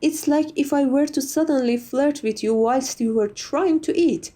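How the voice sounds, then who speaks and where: A woman's voice: sits high, normal speed, mellow, natural voice. A woman playing a sociable, gracious vampire who chats in a genteel, slightly formal way, as an ASMR roleplay. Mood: mildly exasperated. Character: sociable, chatty, gracious old female vampire being interviewed.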